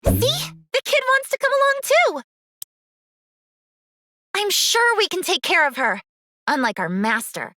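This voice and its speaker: An actress voicing an eager, big-hearted young girl in an excitable anime game style. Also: very high-pitched, unhurried, long pauses, no room sound, full character voice. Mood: pleading. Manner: excitable, bright, exclamatory. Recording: loud music behind the voice.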